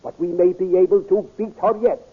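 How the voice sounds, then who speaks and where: A man playing a calm space commander in an old radio drama, measured and authoritative. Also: high-pitched, husky. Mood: commanding. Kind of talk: 1930s radio drama acting. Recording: tinny, narrow old radio recording.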